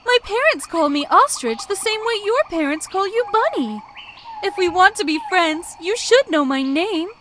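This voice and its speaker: A woman's voice: extremely high pitch, animated, normal speed, a bit bright, slight background noise, voice pushed up. A woman voicing a young female ostrich, friendly, proud and earnest, explaining things emphatically in a children's cartoon story.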